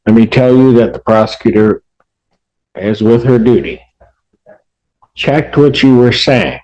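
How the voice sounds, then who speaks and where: A man's voice: mid-range voice, fairly even intonation, a little slow, a little mellow, rough recording. An American man speaking as a judge in a courtroom, stern and admonishing, explaining his decisions at length. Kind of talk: courtroom address from the bench.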